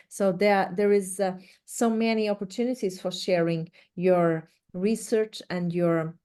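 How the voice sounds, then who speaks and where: Slow-speaking woman with a Portuguese accent. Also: fairly low pitch, a little mellow. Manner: hesitant, halting delivery with frequent pauses and repeated words. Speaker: research director presenting a webinar. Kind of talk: instructional webinar presentation.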